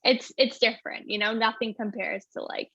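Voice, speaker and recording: A woman's voice: on the high side, fairly even intonation, moderate pace, sharp tone, no echo. Young female singer, casual and bubbly, constant "like", lo-fi dry audio over a video call. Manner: bubbly, says "like" constantly, laughs often. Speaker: singer-songwriter guest. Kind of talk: casual interview chat. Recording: dull, dry, lo-fi sound.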